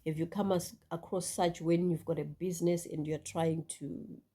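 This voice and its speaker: A woman's voice: fairly low pitch, steady tone, moderate pace, mellow. A Zimbabwean woman vlogger speaking reflectively to her viewers, with many fillers and restarts. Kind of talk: casual talk to camera.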